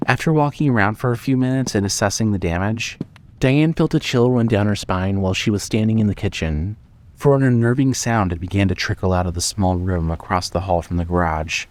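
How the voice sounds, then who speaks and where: A man's voice: fairly low pitch, brisk. A man narrating a scripted horror story as the storyteller of an audio drama.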